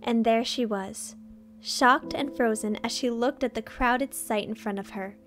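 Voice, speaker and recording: A woman's voice: a little high, unhurried. A woman reading a fan fiction story aloud as narrator, in a scripted storytelling style.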